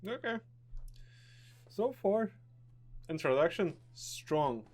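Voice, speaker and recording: Unhurried, higher-voiced man gaming casually. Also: very animated, a little mellow. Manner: offhand, reacting in short remarks. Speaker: gaming video host. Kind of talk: casual first-impression game playthrough commentary.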